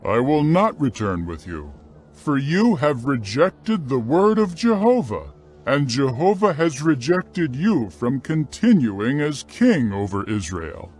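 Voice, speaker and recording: A man's voice: medium pitch, big pitch swings, takes it slowly, a little mellow, breathy edge, soft background music, pitched above the performer's own voice, heavily acted. A man voicing a stern old prophet who solemnly rebukes a king in a dramatized scripture reading. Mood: grave judgement. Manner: solemn, condemning, emphatic.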